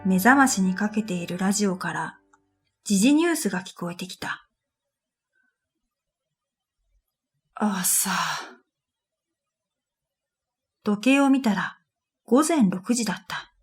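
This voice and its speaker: A woman playing a pensive dream reader, narrating her own story in a quiet, measured radio drama style. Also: medium pitch, expressive, soft background music. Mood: weary, uneasy. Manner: quiet, measured, pensive, trailing into breathy phrase ends. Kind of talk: scripted first-person radio drama narration.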